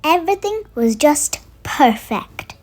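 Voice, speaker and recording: A storyteller reading a children's bedtime picture book aloud in an expressive way, made for young listeners. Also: sits high, takes it slowly. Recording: music under the voice. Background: music.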